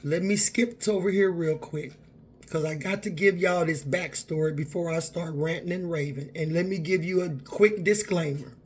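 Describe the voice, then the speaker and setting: A woman's voice: fairly low pitch, moderate pace, darkish tone. A woman, talking candidly and earnestly to her viewers in a personal vlog. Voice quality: raspy. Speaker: vlogger.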